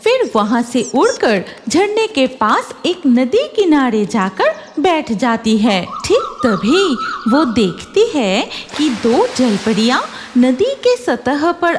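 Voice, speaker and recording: A woman's voice: sits high, very animated, slow pace, a little hiss or hum. A woman narrating a scripted cartoon story for children, with music behind her voice.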